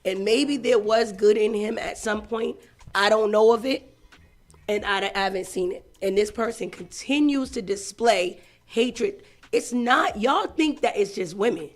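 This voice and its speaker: A woman's voice: mid-range voice, a little slow. A woman from Boston with a Boston accent, co-hosting morning radio, blunt, passionate and colloquial. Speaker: radio co-host. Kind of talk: morning radio talk show chat.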